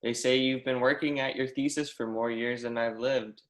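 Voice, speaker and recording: A man's voice: mid-range voice, steady tone, moderate pace, a bit bright, lightly acted. A man playing an eager, deferential young mathematics professor in a stage play reading, earnest, effusive and formally polite. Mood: reverent, insistent. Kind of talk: readers theatre performance of a history play. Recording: echoey room.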